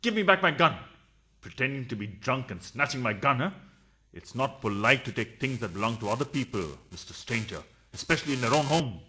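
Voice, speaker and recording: An actor playing a suspicious, stingy, blustering adulterous husband in a farcical radio play, always accusing. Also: male voice, medium pitch, animated, fairly quick, noisy background, close to an everyday voice. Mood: exasperated.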